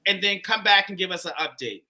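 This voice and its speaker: A man with a Pittsburgh accent hosts a live call-in dating show, loud, animated and chatty. His pitch swings widely, and his tone is very bright and forceful. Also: quick pace. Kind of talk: casual live-stream chat.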